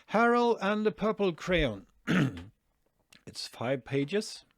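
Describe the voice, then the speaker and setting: A man's voice: high-pitched, sing-song, slow pace, no echo, studio quality. A Norwegian man talking through a screen-share tutorial, hesitant, with many fillers and restarts. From Norway. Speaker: online marketing tutor.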